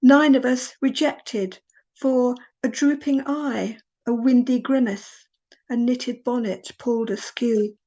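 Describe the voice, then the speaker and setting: Slow, higher-voiced woman, dull recording. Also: darkish tone. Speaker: poet reading aloud. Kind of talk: poetry reading. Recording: dull, lo-fi sound.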